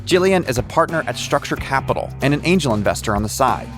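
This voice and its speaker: A male podcast host narrates in a scripted but conversational style. His pitch moves around a lot, giving the lines a lively bounce. Faint music plays underneath. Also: fast.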